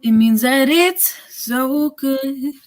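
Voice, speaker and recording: A woman performs as a young cafe singer in a radio drama. She sings a romantic standard very slowly in a fairly high voice, over prominent piano. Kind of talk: slow jazz standard singing. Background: piano accompaniment.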